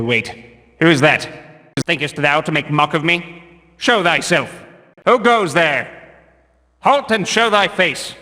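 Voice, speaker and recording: An actor playing a stern, zealous religious guard in a video game, declaiming pompously in archaic English. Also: male voice, sits high, pushed and bright, long reverb tail, exaggerated voice. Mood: wary, suspicious, then stern, threatening.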